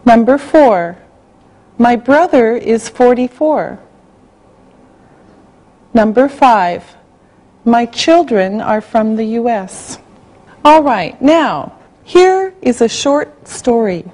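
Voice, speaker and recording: A woman reading a language-class listening exercise, spelling out words and dictating sentences slowly and carefully. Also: fairly high pitch, expressive, darkish tone. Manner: slow, carefully spelled out, evenly paced. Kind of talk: slow scripted dictation.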